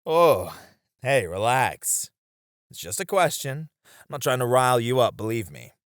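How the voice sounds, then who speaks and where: A man's voice: medium pitch, sing-song, measured pace, no room sound, studio quality. A man playing a seasoned knight, a wistful, teasing sparring comrade in a romantic roleplay, with reflective, hesitant phrasing. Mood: earnest, gentle. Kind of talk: romantic ASMR roleplay acting.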